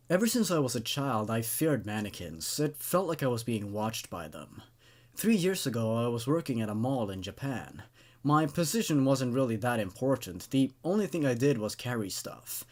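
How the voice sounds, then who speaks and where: A man with a slightly high voice hosts an amateur horror story show. He moves between commentary and reading, thinking aloud in a hesitant, rambling way and often doubling back on his words.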